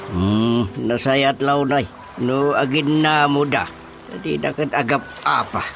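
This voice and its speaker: Rural father, radio drama: gravelly, unhurried, conversational, music bed underneath. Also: mid-range voice. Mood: approving. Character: easygoing rural father advising his child.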